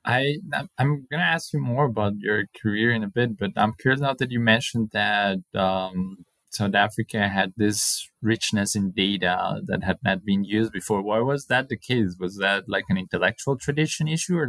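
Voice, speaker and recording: A man with a fairly low voice hosts a conversational interview. He phrases his questions informally and with some hesitation. The sound is very dry, with no room around the voice. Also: normal speed, a bit bright, no room sound. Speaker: interviewer, host.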